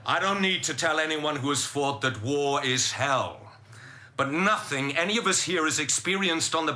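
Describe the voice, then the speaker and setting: A man acting a British minister making a grave, impassioned speech in a radio drama, solemn and deliberate in his rhetoric. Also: on the high side, pushed and bright.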